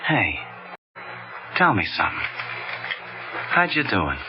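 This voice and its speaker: A menacing old radio crook, slangy tough talk, pushy, dull noisy broadcast sound. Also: male voice, on the low side, wildly swooping pitch, takes it slowly, heavily acted. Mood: pushy, insistent. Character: brash, menacing gangster killer hunting stolen diamonds. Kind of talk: old-time radio drama acting.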